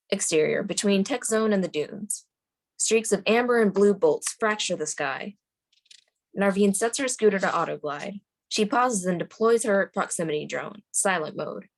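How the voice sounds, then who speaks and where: A woman narrator reading screenplay stage directions aloud at a table read. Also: medium pitch.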